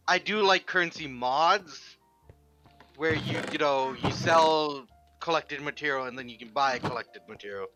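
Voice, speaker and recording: A man's voice: on the high side, sharp tone, muffled recording. A man chatting while he builds in a game, counting blocks and talking to himself. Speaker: streamer building on the server. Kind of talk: casual chat while gaming.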